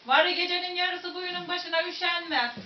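A woman with a Central Anatolian Turkish accent telling a folk tale in a lively, dramatic way, speaking to her listeners in a museum room. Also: extremely high pitch, normal speed. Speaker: storyteller. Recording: echoey room, distant, dull, faint noise.

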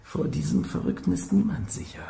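An actor playing a brooding, protective doctor with a menacing edge in a German radio thriller, speaking haltingly. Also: male voice, high-pitched, huge pitch swings, creaky, dark tone, exaggerated voice. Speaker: actor in an audio drama. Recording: roomy, faint background noise.

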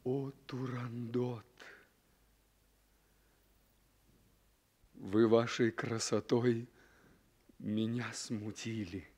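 An actor playing a bold, lovestruck young prince in a theatrical radio play. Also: male voice, high-pitched, fairly bright tone. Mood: ardent, defiant.

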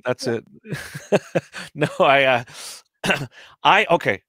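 A man's voice: fairly high pitch, expressive, drawn-out words, sharp tone, breathy edge, no room sound. A man in his forties chatting casually on a video call from his home studio, rambling and joking, now and then swearing. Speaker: guest musician. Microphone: headset microphone on video call.

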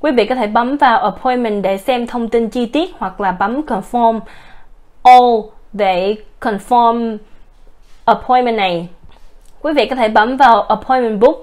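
A woman giving a formal, step-by-step voice-over walkthrough of salon booking software, politely addressing her viewers. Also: a little high, a little slow. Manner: explains step by step, with occasional restarts. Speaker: software demonstrator. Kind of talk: screen-recorded software tutorial. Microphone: voice-over narration over a screen recording.

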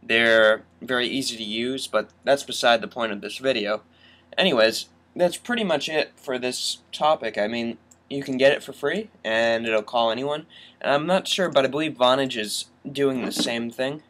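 A young man hosts a casual tech tutorial from his computer. His voice sits at an average male pitch, and he talks informally, as if showing a viewer around his screen. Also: moderate pace, a bit bright. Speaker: tech vlogger.

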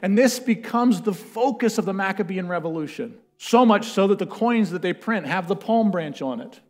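Animated preacher man in a church hall. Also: sits high, normal speed. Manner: animated storytelling, building to loud chanted quotes. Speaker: pastor. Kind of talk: sermon. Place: church stage with a lectern, large indoor room.

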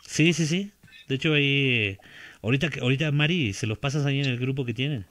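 A man's voice: medium pitch, quick pace, a bit bright, no room sound. Streamer guy in his 30s, casual talky gaming chat, headset mic, repeats himself a lot. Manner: chatty, repeats words, laughs often.

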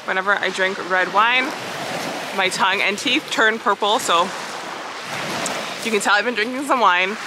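A woman's voice: mid-range voice, background noise. A young woman in her twenties, a bubbly, chatty travel vlogger talking to a handheld camera outdoors by a foggy lakeshore. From Canada. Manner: bubbly, chatty, lots of "really nice". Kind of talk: casual vlog talk to camera. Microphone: handheld camera at arm's length.

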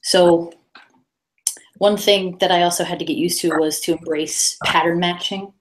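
A woman's voice: lowish voice, fairly even intonation, measured pace. A woman presenting a casual coding talk at a meetup over a video call, talking loosely with many fillers and restarts. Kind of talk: casual tech talk with slides.

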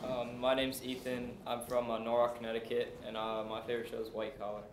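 A man's voice: medium pitch, monotone, a little fast. Young guy student saying who he is, far from mic, noisy echoey room. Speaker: student introducing himself. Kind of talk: casual self-introduction. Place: tiered classroom. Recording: distant, noisy, echoey classroom.

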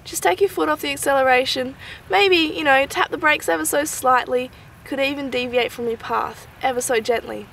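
A woman's voice: high voice, steady tone, normal speed, creaky, a bit bright, slight background noise. A young Australian woman giving casual, enthusiastic wildlife commentary in a gushing, chatty way with informal slang. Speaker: wildlife vlogger.

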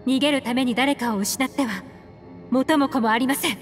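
Actress as a composed, rational young woman, highish voice. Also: lightly acted. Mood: earnest, reproving. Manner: polite, measured, reasoning firmly. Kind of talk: anime-style game voice acting. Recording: reverberant, with music behind.

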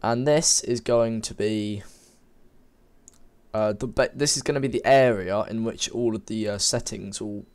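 Sniffly man with a cold, low voice. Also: a little slow, darkish tone. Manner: informal, rambling, self-correcting while typing. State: has a cold, a bit sniffly. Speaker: game development tutor. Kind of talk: casual screen-recorded coding tutorial. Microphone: desk microphone.

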